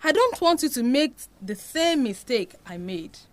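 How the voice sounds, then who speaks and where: A woman's voice: sits high, big pitch swings, measured pace. A woman playing an anguished, devout Nigerian mother confessing a painful past in an audio drama. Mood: solemn, pained.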